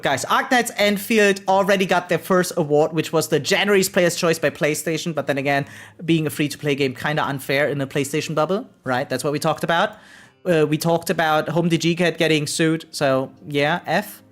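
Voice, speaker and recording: A man's voice: fairly high pitch, a bit bright. A man live streaming and chatting casually with viewers in a rambling, repetitive, slangy and profane way, often checking in with a tag question. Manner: frequently tags sentences with "right?". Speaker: variety game live streamer.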